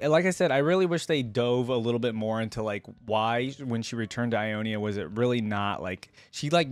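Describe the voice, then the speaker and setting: A man, giving casual, rambling reaction commentary. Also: mid-range voice. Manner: rambling, musing. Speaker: reaction video host. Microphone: close desk microphone, headset on.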